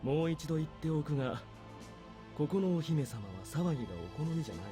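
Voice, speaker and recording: A man voicing a calm, calculating military major in a visual novel, speaking with dry, understated authority. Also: a little high, very fast, over music, close to an everyday voice. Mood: quiet, grave. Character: calm, calculating male military major giving covert orders.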